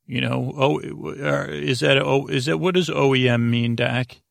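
A man hosting a bedtime podcast with a rambling, improvised comic monologue, halting, trailing off and circling back. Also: mid-range voice, steady tone, creaky, no room sound, clean studio sound. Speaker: podcast host.

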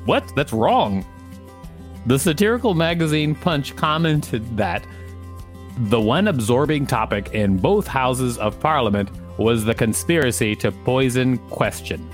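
Man, podcast co-host, article read aloud, joking asides, mid-pitched voice, music underneath. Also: lively intonation, unhurried.

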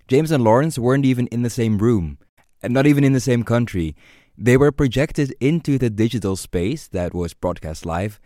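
Mellow low-voiced male narrator, dry sound. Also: no echo. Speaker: podcast host and narrator. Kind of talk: scripted narration.

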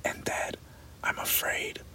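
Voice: slow, pushed and bright, rough recording. A reader voicing a wry, learned, stout male doctor, whispered throughout. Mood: dry. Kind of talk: whispered audiobook reading.

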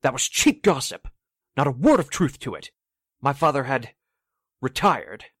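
An actor playing a defensive, earnest heir under questioning in a 1940s-style radio drama, guarded and hesitant. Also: male voice, mid-range voice, sing-song, measured pace, a bit bright, husky, dry recording, heavily acted. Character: defensive male heir questioned about a murder.

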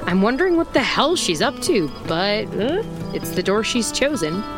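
A woman's voice: medium pitch, big pitch swings, moderate pace, lightly acted. Woman acting a wry female private eye over music. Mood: wry narration. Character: wry, tough female private eye, first-person narrator. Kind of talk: audio drama noir narration and dialogue.

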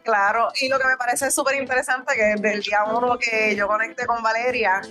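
A woman's voice: fairly high pitch, fairly even intonation, a little fast, bright, forceful tone, faint music behind. A Puerto Rican woman co-hosting a parenting podcast in casual chat, dropping frequent "verdad?" fillers. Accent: Puerto Rican Spanish. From Puerto Rico. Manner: chatty, many fillers like "¿verdad?". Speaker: podcast co-host.

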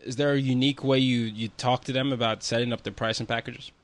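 A man's voice: medium pitch, steady tone, fast. Enthusiastic male podcast host. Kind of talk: podcast interview.

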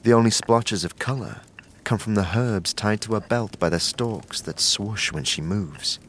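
A man narrates an audio drama in a measured, descriptive way, addressing the listener in the second person. His voice sits low. Faint background noise hangs under the recording. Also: a bit bright.

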